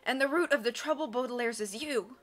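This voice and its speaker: A poisoned, accusing island woman from a storybook, acted by a woman narrator. Her voice is hoarse yet high and bright, over faint music. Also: fairly quick.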